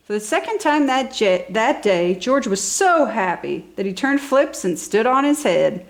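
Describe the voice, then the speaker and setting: Woman, children's storybook narrator, highly varied pitch, enthusiastic, emphatic delivery. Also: a little slow. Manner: enthusiastic, animated, exaggerated emphasis for young children. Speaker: storybook reader for a toddler channel.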